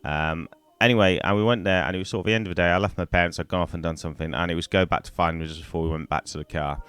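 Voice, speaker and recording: A middle-aged man from Wales, hoarse from a cold, chatting to camera in a rambling way on a poor-quality microphone. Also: low voice, fairly even intonation, rapid-fire, fairly bright tone. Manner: rambling, chatty, many ums and restarts. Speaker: gaming YouTuber. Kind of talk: casual to-camera storytelling.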